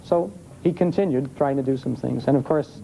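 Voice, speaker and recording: A man's voice: medium pitch, moderate pace, vocal fry, dark tone. An American man speaking as an expert guest in a TV interview, explaining things conversationally. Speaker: guest, old-time radio expert. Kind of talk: live TV interview. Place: television studio with audience. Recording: noisy vintage TV broadcast.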